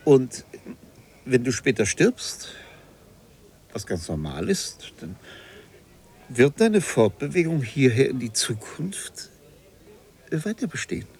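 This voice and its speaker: An actor playing a polite, bewildered bookseller in a radio play, halting and puzzled as he struggles to follow. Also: medium pitch, sing-song, a little mellow, slightly rough, exaggerated voice. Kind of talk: German radio play acting.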